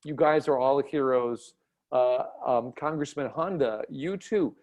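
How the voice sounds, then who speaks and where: A man moderating a panel discussion over a video call, friendly, with a few quick restarts. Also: mid-range voice, a little mellow.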